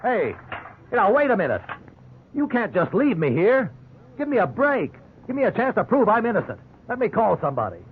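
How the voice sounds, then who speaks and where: A man's voice: high voice, huge pitch swings, fairly quick, husky, heavily acted. An actor playing an earnest, indignant ordinary man wrongly held by police, in 1950s radio drama style. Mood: pleading. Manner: earnest, aggrieved. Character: indignant, wrongly jailed ordinary man telling his story. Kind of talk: 1950s radio drama acting with first-person narration. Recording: tinny, noisy old radio recording.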